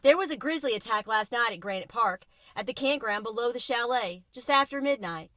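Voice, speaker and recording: A woman's voice: fairly high pitch, expressive, fairly quick, fairly bright tone, dry recording, clean studio sound. Woman reading as a shaken, earnest young man. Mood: grave, shocked.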